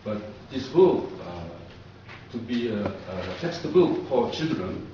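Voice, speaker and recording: A man with a Korean accent, a professor lecturing haltingly in short phrases of non-native English. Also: medium pitch, big pitch swings. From South Korea. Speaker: professor, co-presenter. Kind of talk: public lecture, answering questions. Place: echoey indoor lecture room. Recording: very echoey room, distant muffled mic, noise.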